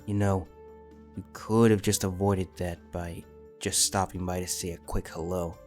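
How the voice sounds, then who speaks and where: Man playing a deep-voiced wry adventurer. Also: level tone, a little slow, a little mellow, faint music behind. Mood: wry reasoning. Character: wry, diplomatic armed adventurer facing a dragon. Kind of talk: fan fiction audio drama acting.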